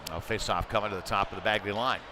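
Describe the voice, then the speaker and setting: A man's voice: fairly low pitch, fairly even intonation, quick pace. A man calling live ice hockey play by play in short, clipped phrases. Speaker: play-by-play commentator. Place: indoor ice rink. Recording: noisy rink ambience behind voice.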